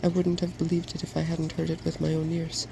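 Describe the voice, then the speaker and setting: A man voicing a fearless, bookish, self-assured young woman in a soft-spoken storybook reading. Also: on the high side, completely flat delivery, fairly quick, vocal fry, background noise. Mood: delighted.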